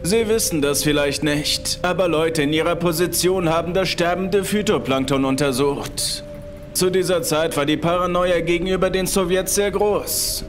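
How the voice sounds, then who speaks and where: A man's voice: fairly high pitch, moderate pace, pitched above the performer's own voice, lightly acted. A man voicing a tense, nervous male boss who speaks in a stern, urgent way in a horror audio story. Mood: grave, tense. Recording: music behind the voice.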